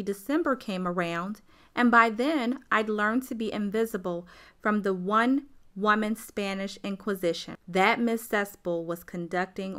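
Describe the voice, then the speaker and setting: A woman playing a grown-up storyteller who looks back on her childhood in a radio play, measured and wry. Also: medium pitch, fairly bright tone, lightly acted.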